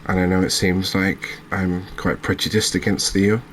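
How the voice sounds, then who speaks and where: Deep voiced guy doing chill asmr game commentary, barely varies his tone, bit of background noise. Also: flat delivery, moderate pace, a bit bright. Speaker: gaming video host.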